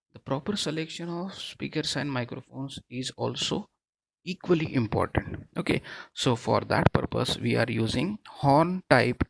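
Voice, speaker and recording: A man lecturing in an explanatory, halting way, repeating himself and often checking in with a tagged okay. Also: mid-range voice, gravelly. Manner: halting, repetitive, frequent okay as a tag. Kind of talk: explanatory technical lecture over slides.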